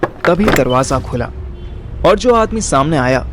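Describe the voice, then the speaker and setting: A man's voice: fairly high pitch, lively intonation, a little slow, a bit bright, slight background noise. A man with an Indian accent narrating a scripted audio story in a wry, colloquial way. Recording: music behind the voice.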